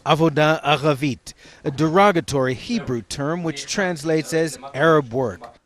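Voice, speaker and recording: A man narrating a news report as correspondent, with a measured, even broadcast delivery. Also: fairly high pitch.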